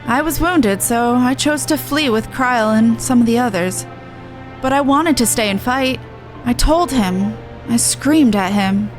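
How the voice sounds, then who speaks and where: A woman's voice: fairly high pitch, low-quality recording. A woman game streamer reading dialogue aloud with chatty reactions, stumbling and correcting herself as she goes. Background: video game music.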